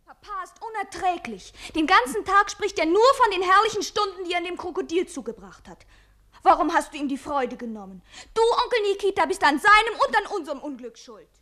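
A woman's voice: extremely high pitch, expressive, a little fast, sharp tone, exaggerated voice. An actress playing a young girl, a reproachful, complaining daughter, in a radio play. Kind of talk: German radio play acting.

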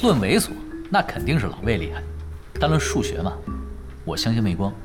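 An actor playing a laid-back young male pro gamer in a radio drama, talking in an unhurried, nonchalant, teasing way. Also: fairly low pitch, big pitch swings, over music. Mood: matter-of-fact. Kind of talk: Chinese radio drama acting.

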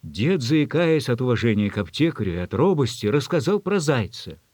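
Measured male storyteller, middle-pitched voice. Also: no echo. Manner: measured, expressive reading. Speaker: narrator. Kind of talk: scripted literary story narration.

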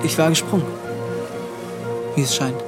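A man's voice: a little high, fairly even intonation, normal speed, over loud music, lightly acted. A man acting in a German radio play as a haunted, defiant young ship's officer who tells of a shipwreck in an agitated, dramatic way. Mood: intense, dramatic. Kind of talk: German radio play acting, intense first-person narration.

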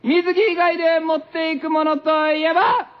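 A man in his thirties co-hosting a radio show, reading out quiz topics and adding playful, deadpan quips in casual banter. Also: extremely high pitch, normal speed. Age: 30s. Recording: muffled, band-limited radio recording.

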